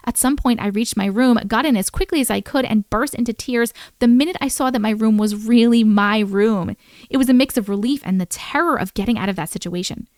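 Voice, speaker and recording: A woman in her thirties reading viewer-submitted scary stories aloud in a bedroom, breaking off for chatty, animated comments. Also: a little high, no room sound, studio quality. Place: bedroom, indoors. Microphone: large studio mic on boom in front of her.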